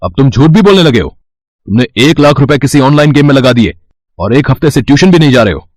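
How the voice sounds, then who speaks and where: A man's voice: medium pitch, big pitch swings, brisk, a little mellow, no echo. A stern father of a well-off household as a man acts him in a moral tale for children. He scolds in a mid-range voice, recorded very dry. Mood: angry, scolding. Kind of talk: children's moral story dialogue.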